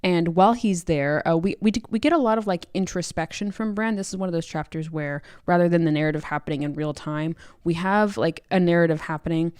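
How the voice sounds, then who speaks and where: Low-voiced woman, playful podcast co-host. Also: brisk, hardly any pauses, darkish tone, clear, resonant tone, no echo, studio quality. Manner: playful, teasing. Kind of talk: casual book discussion chat.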